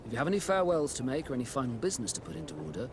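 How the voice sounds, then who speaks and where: An actor playing a blunt, forceful sea captain in a video game, with a commanding, animated delivery. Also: male voice, medium pitch, racing, noisy background, close to an everyday voice. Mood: businesslike. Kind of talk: scripted video game cutscene acting.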